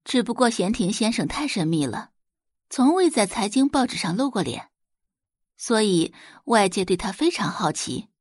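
A woman's voice: medium pitch, big pitch swings, normal speed, darkish tone, dry recording, clean studio sound. A woman narrating a novel as an audiobook, in a dramatic scripted storytelling style.

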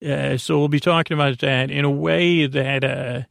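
A man with a creaky voice hosting a bedtime podcast, meandering gently and hesitantly, often interrupting himself in a soothing way. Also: mid-range voice, fairly even intonation, normal speed, no echo. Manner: soothing, gentle, soft-spoken. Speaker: podcast host. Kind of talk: rambling bedtime podcast monologue.

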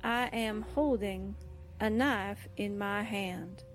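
A woman's voice: medium pitch, slow, faint music behind, lightly acted. An actress playing a sassy young newspaperwoman in a 1940s-style radio drama, with slangy, streetwise delivery. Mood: entranced, blank. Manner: wisecracking, streetwise, brash.

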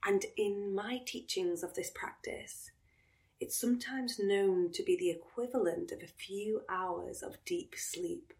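A woman guiding a relaxation meditation in a soothing, unhurried manner, with phrases trailing into breathiness. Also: medium pitch, darkish tone. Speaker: yoga nidra meditation guide.

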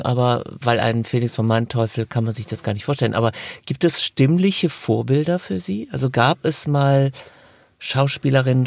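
A man's voice: medium pitch, vocal fry, darkish tone, no echo. A man hosting a podcast interview, putting courteous, conversational questions to his guest. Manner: courteous, formally addressing the guest.

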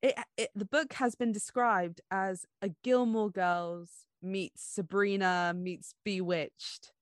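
A woman's voice: mid-range voice, fairly even intonation, takes it slowly, no echo, studio quality. A woman co-hosting a podcast, chatting casually about books. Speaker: podcast co-host. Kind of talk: casual book chat.

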